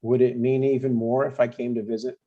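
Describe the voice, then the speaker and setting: An older man with a husky voice playing a blunt, easily annoyed but caring dad in an informal comedy table read. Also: medium pitch, flat delivery, a little fast, highs cut off, lightly acted. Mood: friendly.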